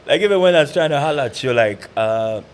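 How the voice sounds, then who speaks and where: A man's voice: mid-range voice, animated, fast. Laid-back slangy male podcast host. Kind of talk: casual podcast interview.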